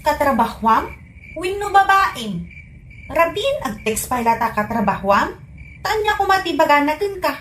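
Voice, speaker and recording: A woman's voice: high voice, sing-song, moderate pace, fairly bright tone, a little hiss or hum. A woman with a Filipino accent playing a jealous, scolding wife in a comedy radio drama, nagging and exclamatory. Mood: jealous, angry. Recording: music behind the voice.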